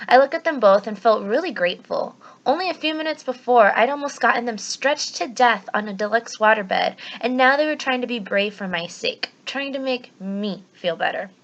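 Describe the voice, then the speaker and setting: A woman teacher speaking to her students in an expressive read-aloud style. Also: a little high, normal speed, hardly any pauses, muffled recording.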